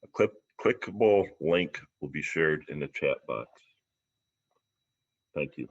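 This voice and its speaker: A man with a low-pitched voice leads a church service, reading and announcing to people watching online. The livestream sound is slightly dull and lo-fi. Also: animated, unhurried, breathy edge.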